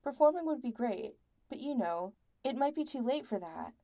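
A woman's voice: high-pitched, lively intonation, normal speed, dark tone, voice pushed up. Woman as a flustered, defensive young male singer. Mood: nervous, rambling.

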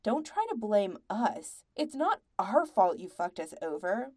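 A woman's voice: mid-range voice, very animated, unhurried, darkish tone, no room sound, clean studio sound. A woman voicing a grim, exasperated young male bandmate in a story, blunt, slangy and accusing.

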